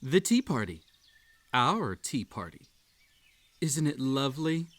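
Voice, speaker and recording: An unhinged bunny tea-party host, acted by a man, speaks at an unhurried pace in a medium-pitched voice. The delivery is coaxing and overly polite, with a possessive, unstable edge suited to a sinister roleplay audio drama. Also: big pitch swings, dry recording, full character voice. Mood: seductive, inviting. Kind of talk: scripted roleplay audio drama addressed to the listener.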